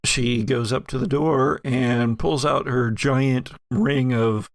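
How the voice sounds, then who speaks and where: A man acting as game master and podcast host, narrating casually and haltingly as he thinks aloud. His voice is mid-pitched with noticeable fry, and the recording is dry and close. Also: steady tone, no room sound.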